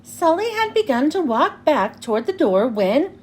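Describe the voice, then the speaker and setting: High-pitched, animated woman reading storybooks. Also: normal speed. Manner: animated, clearly enunciated storytelling. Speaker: children's read-aloud host. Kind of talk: expressive storybook narration for young children.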